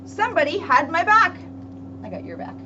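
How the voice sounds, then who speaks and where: A woman police officer speaking to children in an expressive, storybook read-aloud manner, with emphatic pauses. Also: very high voice. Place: indoors at a public library. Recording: dull lo-fi audio with clear background noise.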